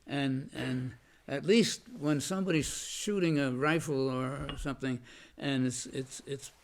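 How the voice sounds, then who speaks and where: Gravelly older man, halting and slow. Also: mid-range voice. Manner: halting, many restarts and fillers; says he has a loud voice. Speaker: veteran interviewee. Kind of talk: oral history recollection.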